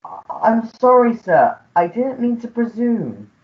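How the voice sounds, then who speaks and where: Girl doing a proper, hesitant butler, slowish, voice goes up and down, muffled recording. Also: fairly high pitch, big pitch swings. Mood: apologetic. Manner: formal, apologetic, hesitant. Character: long-suffering, deferential male English manservant. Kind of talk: comic melodrama radio play.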